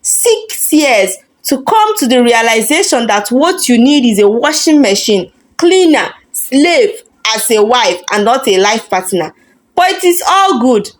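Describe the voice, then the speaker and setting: A woman's voice: a little high, sing-song, unhurried. A woman voicing a self-assured career woman in a story, composed, dignified and cutting. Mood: composed, defiant. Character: self-assured career woman calmly rejecting her boyfriend.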